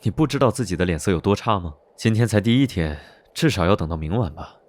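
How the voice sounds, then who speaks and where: An actor playing a teasing, scheming young noble duke, self-assured and drawling, in an animated drama dub. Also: male voice, low-pitched, quick pace. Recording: background music under dialogue.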